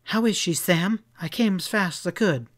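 A man voicing a forceful, urgent young frontier woman in a western storybook, demanding and emphatic. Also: a little high, normal speed, voice pushed up, lightly acted.

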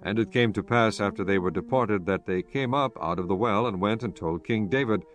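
A man narrating scripture from a script in a measured, solemn storytelling manner. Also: fairly low pitch. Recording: faint music behind the voice.

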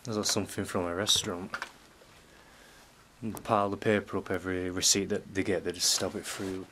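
Low-voiced man exploring, noisy recording. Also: flat delivery, normal speed. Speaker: urban exploration vlogger. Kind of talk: casual exploring commentary. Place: inside a derelict house. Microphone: handheld camera microphone. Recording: noisy handheld camera audio with clicks.

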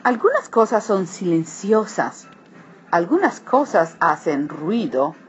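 A woman reading a children's picture book aloud, switching between hushed and emphatic delivery. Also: fairly low pitch, sing-song, slow, faint music behind. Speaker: read-aloud narrator. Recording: dull lo-fi recording, clear background noise.

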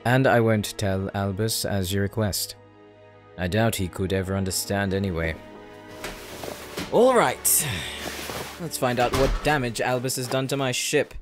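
A male actor voices a proud, shrewd older brother held captive in a fantasy audio drama. His voice sits low, and he speaks formally and deliberately, looking down on whoever he addresses. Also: over music, lightly acted. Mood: grave, reluctant. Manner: formal, measured, condescending. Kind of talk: scripted fantasy audio roleplay.

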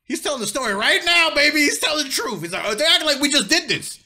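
A young man joking loudly as co-host, speaking on a gaming headset. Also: very high voice, lively intonation, fast talker, a crowd in the background. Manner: joking, loud quips. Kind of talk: casual reaction chat.

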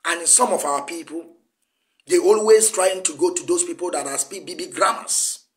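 Nigerian man, 40s, Igbo first language, high-pitched, emphatic political speech to camera in a small room. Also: normal speed. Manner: emphatic, lecturing, gesturing a lot. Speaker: activist commentator.

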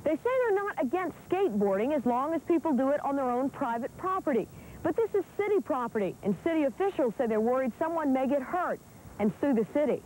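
Lively, mellow female news anchor. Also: high-pitched, brisk, a little hiss or hum, rough recording. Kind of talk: scripted news reading.

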